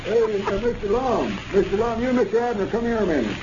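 An actor playing a dim-witted young country helper, blurting excitedly. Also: male voice, sits high, fast talker. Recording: muffled, hissy 1930s radio transcription, off-mic.

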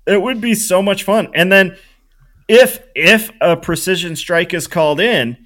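A man brainstorming casually on a podcast in an animated, playful way. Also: sits high, a little slow. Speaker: podcast panelist pitching an invention. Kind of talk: casual excited brainstorming chat.

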